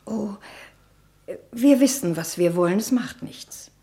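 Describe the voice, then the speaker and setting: An actress playing a timid, naive, sheltered niece who speaks shyly and hesitantly, in the style of a 1960 German radio play. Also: female voice, medium pitch, slow pace, warm tone, no room sound. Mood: resigned, wistful. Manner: hesitant, breathy, shy.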